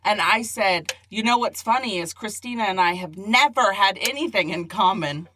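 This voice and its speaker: A young woman co-hosting a casual podcast, playful and quick to laugh, breaking into funny voices. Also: medium pitch, lively intonation, bright, forceful tone, a little hiss or hum. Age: young adult. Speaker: podcast co-host. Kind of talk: casual chat.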